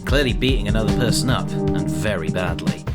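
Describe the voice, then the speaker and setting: A man's voice: lowish voice, animated, fairly quick, loud music behind the voice. A man narrating an audio drama in a dramatic, scripted storytelling style.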